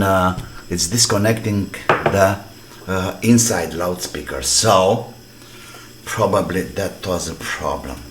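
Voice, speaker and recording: Man, deep-pitched, unhurried, halting phrases, casual repair commentary, noisy clicky recording. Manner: halting, thinking aloud in short fragments. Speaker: electronics repair hobbyist presenting his restoration.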